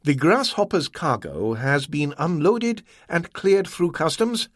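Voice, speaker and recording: A man voicing a cold, contemptuous male merchant who speaks slowly and formally. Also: a little high, sing-song, dry recording, clean studio sound, voice pushed up, heavily acted. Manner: slow, clipped, coldly formal.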